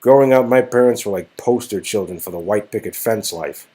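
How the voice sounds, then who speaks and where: A man's voice: on the low side, fairly quick, dark tone, husky. A man voicing an easygoing, slightly sheepish young man in a story game. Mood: playful.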